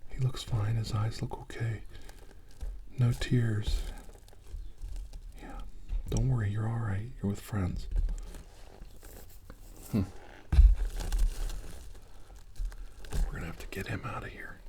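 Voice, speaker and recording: A man playing a calm, caring 1940s army doctor in an ASMR role-play, murmuring hesitantly and often dropping to a whisper. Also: fairly low pitch, a little slow, long pauses, lightly acted. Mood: calm, examining. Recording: noisy recording with clicks.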